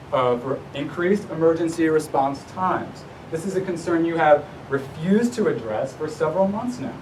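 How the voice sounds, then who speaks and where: A man reading a prepared public comment to a town council, speaking formally and earnestly with deliberate emphasis. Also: darkish tone. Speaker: resident addressing a town council. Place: indoor public council meeting. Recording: distant mic, noisy room with low rumble.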